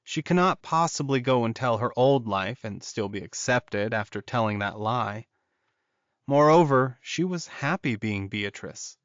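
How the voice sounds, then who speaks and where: A man's voice: mid-range voice, expressive, a little slow, clean tone, dry recording. A man narrating scripted fiction as an audiobook reader, in a slightly muffled recording.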